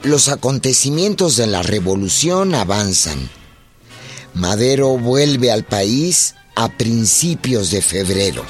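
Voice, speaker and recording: A man's voice: medium pitch, very animated, slow pace, over music. A man delivering dramatic scripted historical narration for a radio play.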